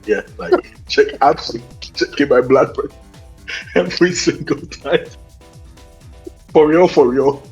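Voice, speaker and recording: A man co-hosting a casual football chat, hoarse from nearly losing his voice, speaking emphatically and fervently. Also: fairly high pitch, measured pace, pauses often, faint music behind. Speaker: co-host. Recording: dull lo-fi sound.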